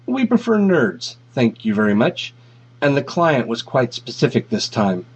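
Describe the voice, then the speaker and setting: A man's voice: mid-range voice, very animated, measured pace, husky. A man voicing a glib, self-assured young male tech genius in a flippant, fussy, smug manner, as in a story reading. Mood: smug, prim. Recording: dull, lo-fi audio.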